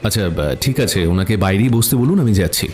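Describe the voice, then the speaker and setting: Man as a composed detective narrator, lowish voice, very lively intonation, scripted story. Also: a little fast, lightly acted. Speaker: first-person narrator.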